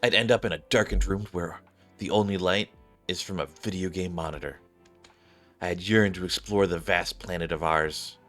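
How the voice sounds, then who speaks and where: Game narrator: earnest young dreamer acted out by a man, wistful storytelling, low-pitched, music behind. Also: steady tone, moderate pace, a bit bright. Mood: earnest, rueful. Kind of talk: read-aloud scripted game narration.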